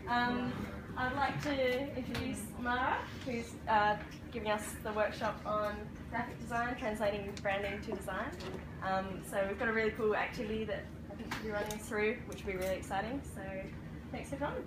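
Upbeat young woman host, high and loud. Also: steady tone, a little fast. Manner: apologetic, upbeat. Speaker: event organizer. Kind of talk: introducing a speaker. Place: small meeting room indoors. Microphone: far camera. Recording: echoey room from far off, noisy.

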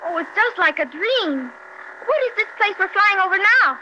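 A performer playing a sweet, excitable, polite little girl in 1930s radio acting style. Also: very high-pitched, normal speed, heavily acted. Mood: curious. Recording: noisy crackly 1930s radio recording.

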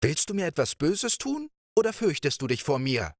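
A man voicing a cheerful, carefree young princess with a playful, lively way of speaking. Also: on the high side, moderate pace, no room sound, studio quality, voice pushed up. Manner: bright, playful, lively questioning.

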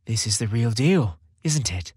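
A man's voice: mid-range voice, animated, a little slow, darkish tone, husky, dry recording, clean studio sound. A man voicing an earnest, awestruck young man in a holiday romance story, amazed and questioning.

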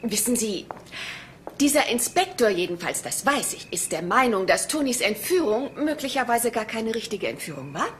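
A woman's voice: a little high, sing-song, normal speed, a bit bright, slight background noise. An actress playing a naive, chatty woman angling for a reward in a German crime radio play, rambling and ingenuous. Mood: earnest, confiding.

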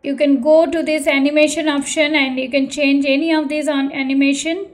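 A woman's voice: sits high, level tone, fairly quick. A woman presenting an online tutorial in a polite, earnest way. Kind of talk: tutorial narration and channel appeal.

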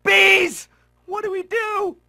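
A man voicing a brash, scheming cartoon boy for a video game, pushy, excitable and nasal. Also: very high voice, slow pace, creaky, a little hiss or hum, exaggerated voice. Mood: panicked.

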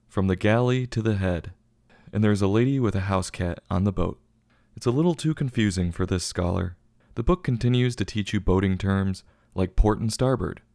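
A man's voice: lowish voice, a little mellow, no echo, studio quality. A man hosting a review show, reading a scripted review in a tongue-in-cheek manner. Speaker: book review show host.

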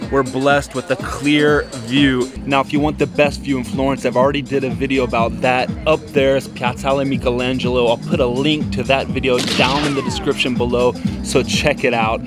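An enthusiastic, emphatic man in his forties presenting an upbeat travel vlog to a handheld camera outdoors. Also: mid-range voice. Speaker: travel vlogger. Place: outdoors, city streets and an open rooftop terrace. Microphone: handheld camera at arm's length. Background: music.

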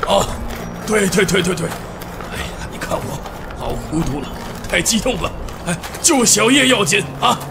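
Man acting an excitable, creaky old professor. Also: high-pitched, normal speed, noisy background, full character voice. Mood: flustered. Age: older. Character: excitable, absent-minded elderly archaeology professor. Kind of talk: audio drama acting. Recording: loud music under the dialogue.

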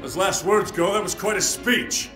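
An actor playing a dry, defiant, wisecracking space marine in a video game. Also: male voice, high voice, moderate pace, roomy sound, over loud music, natural voice. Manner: dry, sarcastic. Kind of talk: video game hero acting.

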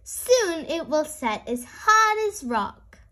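Woman voicing a high, cheerful storybook daddy pig. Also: sing-song, slow, bright, forceful tone, exaggerated voice. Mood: cheerful, explaining. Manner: encouraging, instructive. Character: cheerful adult male pig father, keen teacher.

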